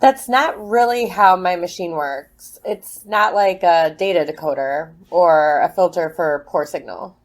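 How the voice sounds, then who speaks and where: A woman's voice: fairly low pitch, measured pace, hardly any pauses, close to an everyday voice. An actress playing a brusque, skeptical woman scientist in an audio drama, blunt, informal and casually sweary.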